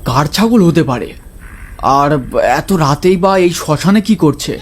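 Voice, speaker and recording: A man's voice: a little high, animated, a little slow, a little hiss or hum. A man voicing a timid young village man who whines and mutters complaints in a horror cartoon. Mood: grumbling. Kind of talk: Bengali horror cartoon acting.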